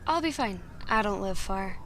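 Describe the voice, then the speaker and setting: A woman playing a soft-spoken helpful contact. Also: medium pitch, lively intonation, moderate pace, slight background noise. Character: helpful young woman who set up an interview.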